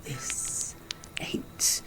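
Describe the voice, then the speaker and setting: A man's voice: drawn-out words, sharp tone, whispered, soft background music, heavily acted, rough recording. A man playing a flirtatious, roguish werewolf bounty hunter in a Western audio roleplay, with a teasing, theatrical Southern American drawl. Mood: wounded, vengeful.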